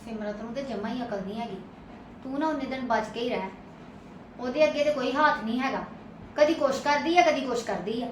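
A woman playing an elder aunt who speaks softly and consoles a child in a family drama. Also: on the high side, a little fast, roomy sound, recorded from a distance, noisy background, lightly acted. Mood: consoling.

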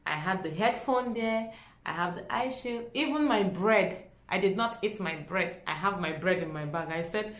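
A young woman with a Nigerian accent tells a story to camera in a dramatic, expressive way, acting out dialogue as she goes. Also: mid-range voice, normal speed. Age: young adult. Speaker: vlogger. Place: small indoor room at home. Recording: echoey small room.

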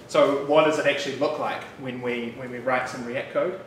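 A man in his thirties with a New Zealand accent, giving a casual, chatty developer talk at a meetup, relaxed with frequent fillers and asides. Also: medium pitch, moderate pace. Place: bright loft-like office room with an audience. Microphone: room mic, speaker standing away from it. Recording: distant, echoey room with background noise.

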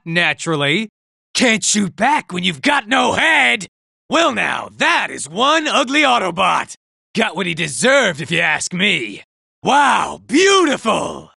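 A man's voice: high-pitched, gravelly, sharp tone, no echo, full character voice. An actor playing a vain, boastful male robot soldier who taunts enemies and admires his own looks, in a video game. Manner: smug, self-admiring, taunting. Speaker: game character. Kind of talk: video game combat barks. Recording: dry studio recording.

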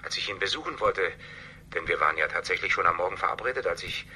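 An evasive, defensive man in a radio crime play. His voice is low and very sharp and forceful, set in measured phrases over slight noise. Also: expressive, heavily acted. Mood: confessing. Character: evasive, defensive male family friend hiding a secret. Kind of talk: German radio crime drama acting.

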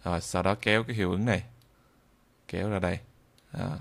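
A man with a southern Vietnamese accent walks viewers through software step by step. His voice sits low, with a gravelly creak in it. He speaks off the cuff, halting and repeating himself as he demonstrates. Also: steady tone. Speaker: tutorial instructor. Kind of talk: screen-recorded software tutorial, improvised.

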